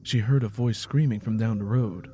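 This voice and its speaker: A man's voice: fairly low pitch, normal speed, warm tone, husky, faint music behind. A man reading a dramatic story as a scripted audiobook narration.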